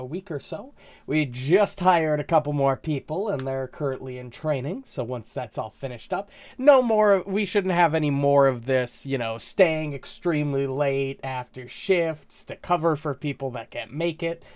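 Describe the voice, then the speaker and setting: A man's voice: a little high, a little mellow. A man with a slight cold, chatting casually and hesitantly as a streamer, with lots of "uh"s. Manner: rambling, hesitant, many "uh"s. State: slight cold, a little congested. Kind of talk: casual live-stream chat.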